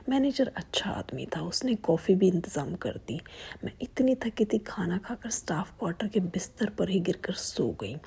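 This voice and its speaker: A young woman narrating a story in a steady hushed whisper. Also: fairly low pitch, breathy edge.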